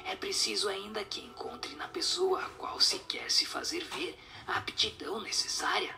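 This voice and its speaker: A man voicing a solemn spirit who answers questions in a breathy, whispery, didactic way. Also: high voice, sing-song, pushed and bright, exaggerated voice. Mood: solemn, instructive. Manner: didactic, measured explanations.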